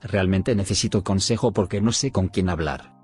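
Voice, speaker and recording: A man reading a first-person forum story aloud in a steady, even storytelling manner. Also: deep voice, moderate pace. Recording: faint background music.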